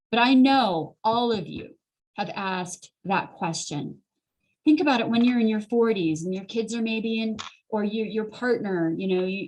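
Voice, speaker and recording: A middle-aged woman presents a motivational career webinar over a video call. Her pitch sits in an average range for a woman, and she talks in an earnest, encouraging, conversational way straight to her listeners. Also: rough recording. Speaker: host and career coach.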